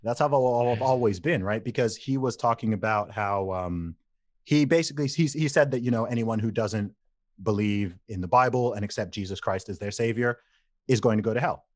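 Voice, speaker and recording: A man's voice: lowish voice, brisk, a little mellow, no echo, studio quality. A man in his thirties from England, a podcast guest who tells stories animatedly, repeats phrases and laughs easily. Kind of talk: casual interview conversation.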